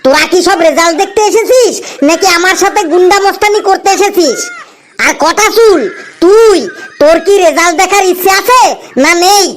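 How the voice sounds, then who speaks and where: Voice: very high voice, normal speed, a little hiss or hum. An actor voicing an irritable, bossy shopkeeper in a comedy cartoon, scolding and taunting with sarcasm. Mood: mocking, exasperated. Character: irritable bald shopkeeper who checks exam results, bossy and mocking.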